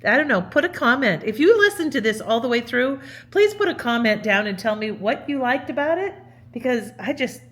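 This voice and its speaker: A woman's voice: a little high, animated, fairly quick, fairly bright tone. A woman hosting with casual, candid, exclamatory commentary aimed straight at listeners. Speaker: host, presenter.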